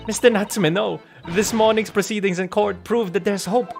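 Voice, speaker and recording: A man's voice: high voice, steady tone, normal speed, creaky, faint music behind, pitched above the performer's own voice. A man voicing a polite, concerned young woman legal assistant in a video game, earnest and proper. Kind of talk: game dialogue read aloud in character.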